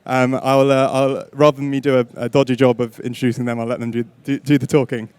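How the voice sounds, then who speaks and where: A man's voice: mid-range voice, flat delivery, fast, darkish tone, clear, resonant tone, dry recording. A man moderating a panel discussion, introducing guests and explaining the format, with a hesitant delivery full of fillers and restarts.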